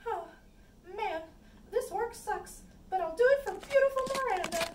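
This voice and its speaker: A woman's voice: very high-pitched, animated, normal speed. A woman voicing lovestruck young sweethearts in an amateur puppet romance, gushing and theatrical. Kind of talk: amateur puppet-show acting. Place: indoor room with puppet stage. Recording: echoey room, distant mic, faint noise.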